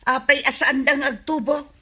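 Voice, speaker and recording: A woman's voice: high voice, steady tone, moderate pace, sharp tone. A woman playing a skeptical, nagging village woman in a Filipino comedy radio drama, with an Ilocano accent.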